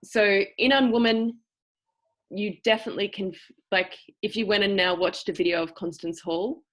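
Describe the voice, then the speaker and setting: A woman with a cultivated, trained-sounding Australian accent, teaching an acting seminar over a video call. She talks thoughtfully, stopping often to find the right word. Her voice sits at a middle pitch for a woman. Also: steady tone, fairly bright tone. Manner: thoughtful, searching for words, with pauses. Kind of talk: explanatory seminar talk.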